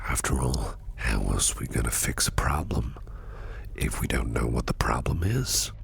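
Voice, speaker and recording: An extremely deep, heavily creaking boss acted by a man murmurs close in an ASMR roleplay, often nearly whispering. He is shady and intimidating, toying flirtatiously with the listener at a slow, insinuating pace. Faint noise sits behind the voice. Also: animated, heavily acted. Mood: teasing, flirtatious. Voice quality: very deep gravelly voice, often breathy near-whisper. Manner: slow, insinuating, smooth-talking, teasing. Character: shady, intimidating, flirtatious male company boss interviewing an applicant.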